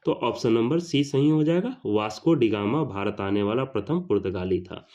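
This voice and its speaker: A man's voice: mid-range voice, lively intonation, normal speed, dark tone. A man teaching an exam question-and-answer lesson, repeating questions and answers for emphasis. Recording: slightly dull lo-fi sound.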